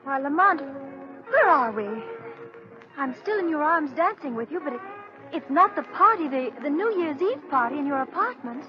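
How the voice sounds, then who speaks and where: An actress playing an elegant, excitable society woman in a 1930s radio drama, speaking emphatically on a muffled old recording. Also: high voice, moderate pace. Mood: bewildered. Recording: muffled old radio, loud music behind.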